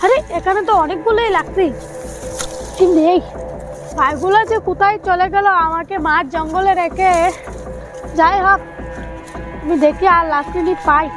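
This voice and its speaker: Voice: extremely high pitch, moderate pace, long pauses, over music. A performer playing a woodcutter's child alone in the jungle in an amateur horror drama, muttering repetitively to themselves. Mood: searching. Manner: talking to self, repetitive.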